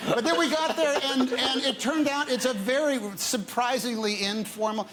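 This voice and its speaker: A man's voice: very high-pitched, normal speed, over a noisy crowd. An older man from the United States, a talk show guest telling playful, laughing anecdotes in a TV studio. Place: TV studio with audience. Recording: loud studio audience and noise.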